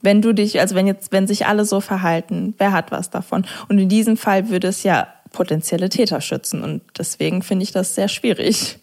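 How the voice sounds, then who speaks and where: A young woman speaks as a co-host in an informal discussion. Her voice has an average pitch for a woman. She explains her points in a reasoned, everyday conversational manner. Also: steady tone, brisk, hardly any pauses, a little mellow.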